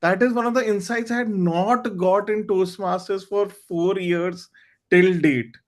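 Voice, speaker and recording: A man with a high voice hosts a club meeting over a video call. His is Hindi, and he talks in an earnest, candid way, reaching for words with small fillers. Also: fairly even intonation, a little slow. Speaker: meeting host. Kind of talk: online club meeting talk.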